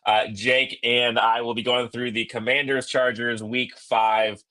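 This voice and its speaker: A man in his thirties co-hosting a sports podcast from a hotel business center over a laptop call, a little hoarse, quick and joking. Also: medium pitch, level tone, bright, forceful tone. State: slightly hoarse voice. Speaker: podcast co-host. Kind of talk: casual sports podcast chat. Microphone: laptop on video call.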